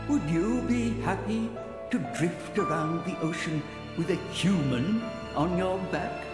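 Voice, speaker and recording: An actor playing a weary, bitter ancient titan creature in a video game, brooding and theatrical. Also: male voice, high voice. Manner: slow, brooding, rhetorical, theatrical. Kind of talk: dramatic video game voice acting. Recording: echoey hall reverb, music behind. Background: music.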